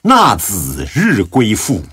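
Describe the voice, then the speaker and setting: A male narrator recites in a deep, husky voice with very wide swings of intonation. His solemn scripted delivery is measured and dramatic, extremely slow, each phrase set apart. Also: wildly swooping pitch, pushed and bright, dry recording, clean studio sound. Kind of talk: solemn scripted narration of classical religious biography.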